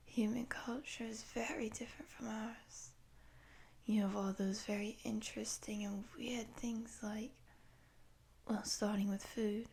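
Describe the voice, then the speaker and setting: A woman voicing a friendly, curious lamia in a roleplay, in a soft breathy whisper, teasing and affectionate, taking her time. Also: mid-range voice, heavily acted. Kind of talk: whispered roleplay audio addressed to the listener.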